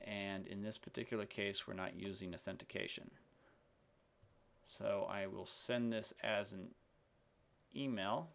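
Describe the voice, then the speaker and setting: Low, gravelly-voiced man explaining software. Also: fairly even intonation. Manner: halting, explanatory, step-by-step. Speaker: software demonstrator. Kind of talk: unscripted screencast tutorial. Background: keyboard typing and mouse clicks.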